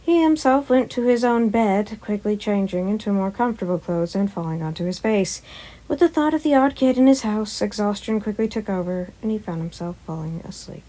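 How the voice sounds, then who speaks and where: A woman's voice: mid-range voice, animated, brisk, dark tone, low-quality recording. A woman who hosts a fan-fiction audio channel, reading stories and talking to listeners. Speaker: podfic reader and channel host. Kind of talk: scripted story narration and casual asides to listeners.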